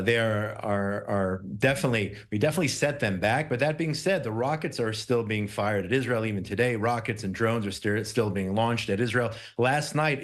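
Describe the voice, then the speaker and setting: A man with a mid-pitched voice comments as a guest correspondent in a live news interview. He speaks emphatically and tends to repeat key words to drive them home.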